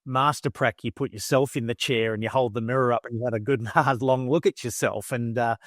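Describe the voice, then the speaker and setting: A male podcast host asks thoughtful, probing questions and affirms his guest often. His voice sits at an average pitch for a man. It is recorded close to the mic, with a dry, dead sound. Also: fairly even intonation, brisk, fairly bright tone, no echo. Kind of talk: interview conversation.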